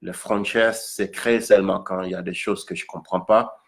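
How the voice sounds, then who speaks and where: A man from Accra with a Ghanaian accent and Ga as his first language, explaining things informally to an audience, haltingly and repeating words for emphasis. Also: low-pitched, fairly even intonation, vocal fry, fairly bright tone. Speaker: musician presenting own single.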